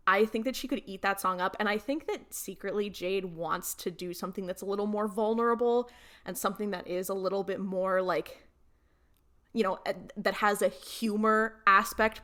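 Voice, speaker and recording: A woman's voice: mid-range voice, moderate pace, a bit bright. A woman chatting casually as a podcast panelist in an informal, slangy way.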